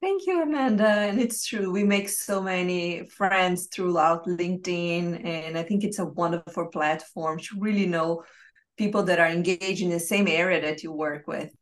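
A woman with a Brazilian Portuguese accent and a slightly low voice. She speaks as a podcast guest, telling her own story in a conversational way. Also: normal speed. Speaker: podcast guest, expat family coach. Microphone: remote call.

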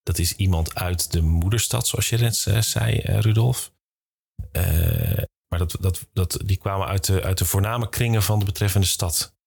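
Deep, husky male podcast interviewer. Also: normal speed, darkish tone. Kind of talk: conversational interviewing.

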